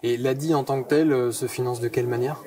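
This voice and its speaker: A man's voice: mid-range voice, steady tone, quick pace, dark tone, distant mic. A man asking interview questions conversationally, searching a little for his phrasing, recorded slightly away from the microphone. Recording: off-mic, crowd murmur behind.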